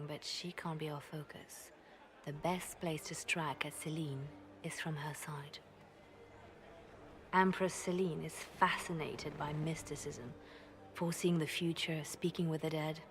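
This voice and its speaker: Shrewd spy adviser in a fantasy game, really low voice w fry, conspiratorial, measured. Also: female voice, steady tone, a little hiss or hum. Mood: discreet, serious. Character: shrewd, discreet female spymaster and adviser.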